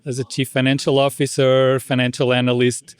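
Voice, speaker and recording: A middle-aged man from Portugal, answering interview questions in a measured, thoughtful way with frequent restarts. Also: mid-range voice, monotone, smooth, no echo. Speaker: association president. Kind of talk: conversational interview.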